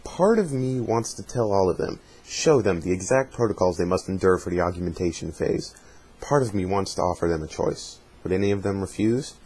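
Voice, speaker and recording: Male voice, lowish pitch, husky and rough, measured dramatic reading, amateur narrator. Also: lively intonation, a little mellow, low-quality recording. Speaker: amateur reader of fan fiction journal. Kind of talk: dramatic reading of a journal with casual asides.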